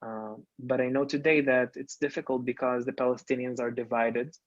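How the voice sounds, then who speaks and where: A young man from Lebanon talks as a podcast guest, often pausing on ums and starting sentences over. His voice sits at an ordinary pitch. The sound is dry and a bit muffled. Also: little pitch movement, no room sound. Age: 20s. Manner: hesitant, many ums and restarts, non-native grammar. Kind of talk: casual interview talk.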